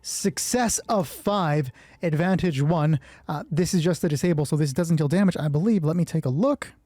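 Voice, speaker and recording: A man's voice: a little high, moderate pace, dark tone, no room sound. A man narrating a solo tabletop roleplay as game master, informal and moving between dramatic storytelling and thinking aloud.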